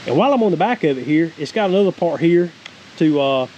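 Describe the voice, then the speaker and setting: A man's voice: a little high, fast talker, lo-fi sound. A man in his forties with a Southern US accent, giving a casual, rambling, folksy product review as a homestead vlogger. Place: outdoors, wooded creek, then cabin deck. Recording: clear steady background hiss outdoors.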